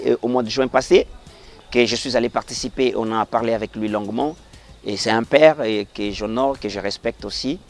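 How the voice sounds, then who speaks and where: A middle-aged man from the Congo Central region of the DR Congo, a pastor speaking earnestly in an interview, rising to emphatic passages and dropping to quiet blessings. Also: on the low side. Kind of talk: formal interview remarks, preacherly.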